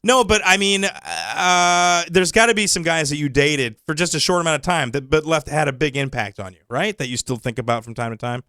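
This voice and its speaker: A man's voice: a little high, animated, brisk, hardly any pauses, pushed and bright, clean tone, no echo, studio quality. A man hosting a casual comedic talk show, chatting in a teasing, joking way. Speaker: show host.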